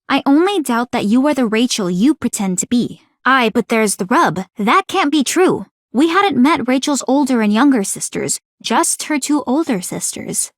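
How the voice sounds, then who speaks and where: A woman's voice: sits high, no room sound, studio quality. A woman playing a confident, suspicious young female strategist in a video game, speaking in a formal, precise, commanding way. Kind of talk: scripted video game character voice acting.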